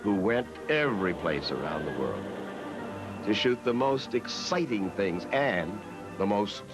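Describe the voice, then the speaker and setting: An older American man reminisces in an interview. His voice is very low but swings widely as he stresses his points, with music behind him. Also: animated, measured pace. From Massachusetts, United States. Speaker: interviewee recalling the past.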